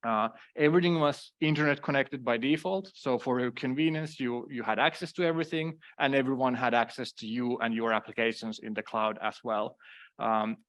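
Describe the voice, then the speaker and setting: A man's voice: medium pitch, steady tone, fairly quick, fairly bright tone. Finnish-accented man giving a tech talk. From Finland. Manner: many fillers and restarts, self-deprecating asides. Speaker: conference speaker. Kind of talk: conference talk.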